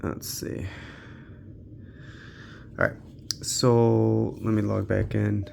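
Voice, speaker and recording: A man's voice: lowish voice, very slow, creaky, darkish tone, slight background noise. A man giving casual, unscripted gaming commentary as a channel host, thinking aloud in a laid-back way.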